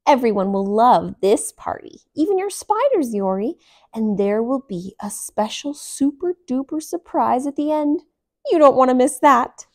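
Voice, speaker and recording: A gushing, boastful lady elephant from a storybook, voiced by a woman reading aloud. She piles up superlatives in a high, loud, bouncy voice. Everything comes out as an exclamation. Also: a little slow. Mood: exuberant. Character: boastful, excitable female elephant.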